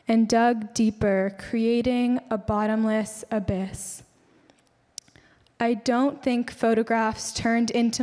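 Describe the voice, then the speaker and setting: A young woman reads poetry aloud at a slow, measured pace. Her voice sits a little high. It carries through a reverberant hall. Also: a little mellow. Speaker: student poet. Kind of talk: poetry reading. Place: large hall at a school event.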